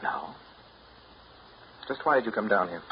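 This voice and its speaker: Low-voiced, weary, blunt man, old radio. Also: a little slow. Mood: weary, detached. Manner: flat, resigned, blunt. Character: weary, detached, blunt man done with a relationship. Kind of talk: 1930s American radio drama acting. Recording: muffled, noisy 1930s radio broadcast recording.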